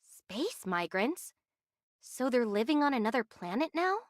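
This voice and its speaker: An earnest young heroine from an anime adventure game. Her voice is notably high and she speaks directly and inquisitively. The sound is dry and studio-close. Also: sing-song, a little slow, no room sound. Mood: curious. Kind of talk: scripted anime game voice acting.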